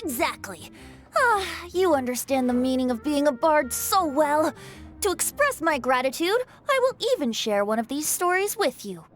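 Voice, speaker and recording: Playful anime bard boy, light high voice, grand storyteller talk, music playing. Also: very animated, moderate pace, a bit bright. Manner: flowery, theatrical, teasing. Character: playful, theatrical young male bard, boyish and self-assured. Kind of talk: anime game voice acting. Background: background music.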